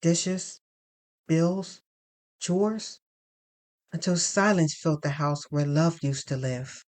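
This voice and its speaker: A woman reading first-person dramatic fiction in a deliberate, expressive storytelling manner. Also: deep voice. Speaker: narrator.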